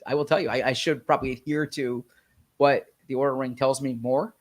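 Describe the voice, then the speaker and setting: A man co-hosting a sports podcast in casual chat, slightly nasal from a cold, talking haltingly with many restarts. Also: mid-range voice, fairly even intonation.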